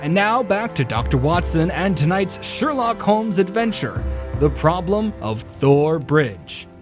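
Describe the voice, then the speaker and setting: An actor playing a polished, enthusiastic radio show announcer with emphatic, showy delivery and deliberate pauses, in old-time radio style. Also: male voice, sits high, very animated. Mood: promotional. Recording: music playing behind the voice.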